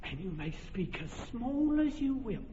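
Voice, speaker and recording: A fussy, earnest carpenter-director. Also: male voice, very high voice, very animated, measured pace, warm tone, a little hiss or hum, exaggerated voice. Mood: directing. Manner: earnest, persuasive, organizing. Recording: dull lo-fi radio recording with hall reverb.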